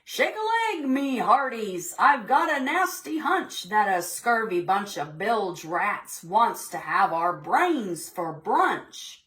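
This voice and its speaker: A woman's voice: mid-range voice, sing-song, slow pace, hardly any pauses, a bit bright, echoey room. A woman voicing a hearty, defiant male pirate captain from a storybook, with emphatic, rallying talk.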